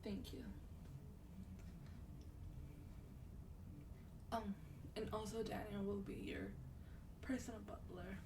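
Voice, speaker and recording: A woman playing a sweet, teasing vampire demon in a gentle, breathy, partly whispered ASMR roleplay. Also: mid-range voice, flat delivery, natural voice. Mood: gracious, polite. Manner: gentle, polite, unhurried, coaxing. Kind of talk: soft-spoken ASMR roleplay. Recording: distant mic, clear background noise.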